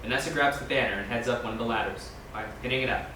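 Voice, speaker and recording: Brisk man reading, in a very echoey room. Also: mid-range voice. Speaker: stage directions reader. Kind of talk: reading screenplay directions aloud. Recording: very echoey room, distant mic, noisy.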